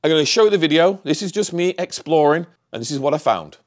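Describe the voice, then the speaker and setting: A man's voice: a little high, lively intonation, fast talker. A middle-aged man, a vlogger, chatting casually and candidly to camera in a rambling way. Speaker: vlogger, channel host. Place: small home office, indoors.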